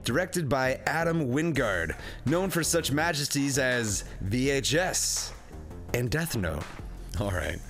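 Man hosting a lively movie review over music. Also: medium pitch, slow pace. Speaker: review show host. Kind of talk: casual comedic movie review. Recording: music playing behind the voice.

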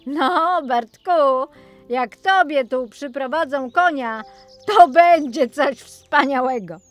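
A woman's voice: high-pitched, slow pace, faint music behind, voice pushed up. A woman voicing a mocking young male miller's apprentice in a children's fairy tale.

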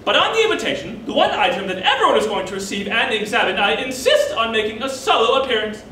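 A man's voice: very high-pitched, animated, fast talker, sharp tone, full character voice. A man voicing an aggrieved, self-pitying older mother who guilt-trips her son, indignant and haughty, in a stage monologue. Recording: echoey room, distant mic, faint noise.

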